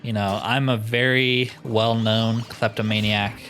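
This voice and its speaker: A man's voice: lowish voice, little pitch movement, unhurried, a bit bright, slight background noise. A man giving casual, chatty let's-play commentary, often explaining himself in asides. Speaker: host of a gaming playthrough.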